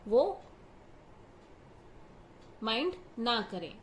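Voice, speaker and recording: Slow, high-voiced woman teacher. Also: very animated, a little hiss or hum. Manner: patient, explains each phrase with Hindi glosses. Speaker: English teacher. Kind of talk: line-by-line class explanation.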